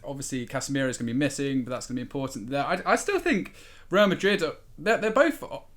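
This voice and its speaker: A man talking football as a podcast panelist, giving his analysis in a conversational, slightly halting way. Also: medium pitch, lively intonation. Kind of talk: football discussion, casual analysis.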